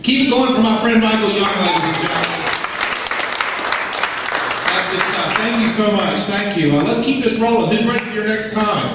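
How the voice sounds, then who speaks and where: A man's voice: high-pitched, a little fast, pauses often, very noisy. A man emceeing a comedy club showcase, hyping the crowd and introducing comics. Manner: upbeat, hype-building crowd work. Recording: echoey club, distant mic, loud crowd.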